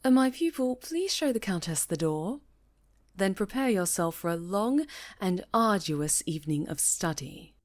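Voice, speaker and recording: A woman voicing a stern, cautious high enchantress and mentor, speaking gravely and formally in a fantasy roleplay. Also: lively intonation, measured pace, lightly acted. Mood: stern, resigned. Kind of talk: fantasy ASMR roleplay acting.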